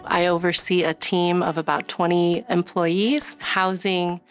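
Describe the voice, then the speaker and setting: Middle-aged female city director, low voice, measured documentary interview delivery. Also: little pitch movement, faint music behind. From US Northwest. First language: Chinese. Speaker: city community development director.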